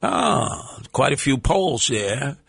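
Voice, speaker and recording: A man's voice: big pitch swings, slow, creaky, fairly bright tone, breathy edge, no room sound, clean studio sound. A man hosting a talk radio show, brash and mocking, bantering with callers. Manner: brash, mocking, theatrical swings in pitch. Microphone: studio microphone.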